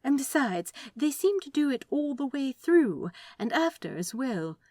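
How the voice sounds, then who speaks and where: A woman plays a prim male angel from a storybook, fretful and earnest. The pitch is lifted and swoops with curiosity. The phrasing is polite, fussy and hesitant, in a dry, close recording. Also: big pitch swings, a little slow, a little mellow, no room sound. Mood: earnestly puzzled.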